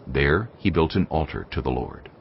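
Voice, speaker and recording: A man narrating scripture in a measured, grave storytelling manner. The audio is dull and lo-fi. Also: very deep voice, expressive, a little hiss or hum. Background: music.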